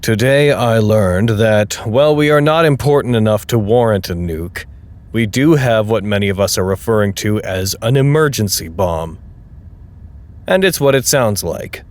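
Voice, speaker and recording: Actor as slightly low, measured male log-keeper. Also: lightly acted. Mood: matter-of-fact. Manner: measured, dry, explanatory. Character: matter-of-fact man recording a log. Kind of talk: audio drama log narration.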